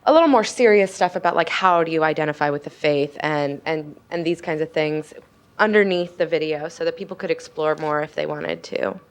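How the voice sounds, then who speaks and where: A woman with a slightly low voice gives a relaxed talk at a conference. She is a multimedia journalist, and her speech is easygoing and self-deprecating, with fillers and frequent small laughs. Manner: casual and self-deprecating, laughs often, uses many fillers. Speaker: multimedia journalist speaking on a panel.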